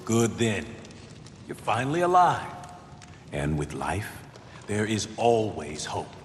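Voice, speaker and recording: Game survivor: down-to-earth, wry, hopeful refugee, lively intonation, reverberant hall. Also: male voice, on the low side, slow. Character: wry, hopeful, plain-spoken male human refugee. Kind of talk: dramatic video game acting. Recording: echoing hall, faint noise.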